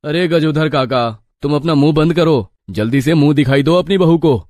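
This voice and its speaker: A man voicing plain-spoken, excitable young village men in a moral story. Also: fairly high pitch, normal speed, dry recording, clean studio sound, close to an everyday voice. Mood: annoyed, brisk. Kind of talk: animated moral story dialogue.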